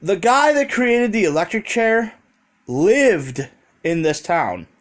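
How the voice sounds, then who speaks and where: A man's voice: high-pitched, sing-song, slow. An American man talking in a rambling, conversational way with casual swearing, telling a story as a vlogger into a handheld phone.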